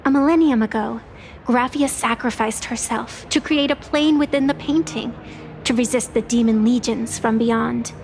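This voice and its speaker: A woman's voice: on the high side, normal speed, faint music behind, lightly acted. An actress playing a playful, theatrical young woman with secret powers in a game, with a dramatic delivery. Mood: grave, urgent. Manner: teasing, dramatic. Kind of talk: scripted game dialogue.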